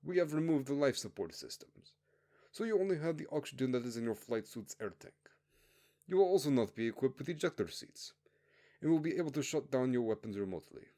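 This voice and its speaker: A man acts out a hard military base commander for a story reading. He speaks up forcefully, pitched above the narrator's low register, and hands out orders with open contempt. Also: expressive, brisk, close to an everyday voice. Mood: scornful, commanding. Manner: barking, scornful, commanding.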